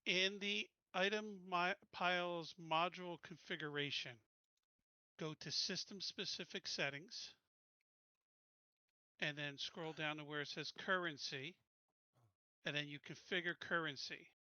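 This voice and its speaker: A man with a very forceful, bright tone and clean delivery explains technical steps casually. He takes his time and instructs one step at a time. The sound is dry and a little band-limited, like voice chat. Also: fairly high pitch, fairly even intonation, unhurried, pauses often, clean tone, no echo, highs cut off. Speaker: player in an online tabletop game group.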